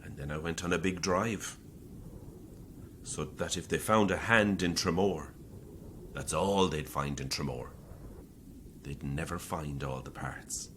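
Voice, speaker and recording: Murderous Irish priest, horror audio drama: low voice, unhurried delivery, smug and sardonic, coldly confessional. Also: male voice, a bit bright, slight background noise. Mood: cold, boastful. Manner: deliberate, sardonic, conversational confession.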